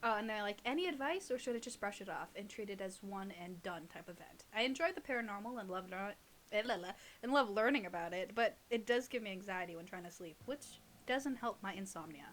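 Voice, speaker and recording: A woman's voice: medium pitch, lively intonation, brisk, a bit bright, slight background noise. Young woman chatting playfully. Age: young adult. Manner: playful, teasing, informal. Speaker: podcast co-host. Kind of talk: casual chat and reading creepy stories aloud.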